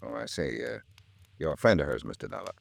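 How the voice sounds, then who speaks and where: A guarded, formal hospital doctor. His very deep, gravelly voice moves expressively, measured and weary in old radio drama style, recorded dry. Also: sing-song, dry recording, heavily acted. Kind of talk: 1950s radio drama acting.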